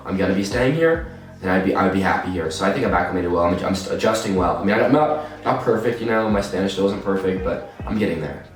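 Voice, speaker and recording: A man in his twenties from Texas, an exchange student giving casual interview answers and rambling through restarts and repeated words. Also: fairly low pitch. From Texas, USA. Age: 20s. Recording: echoey room, mic far off.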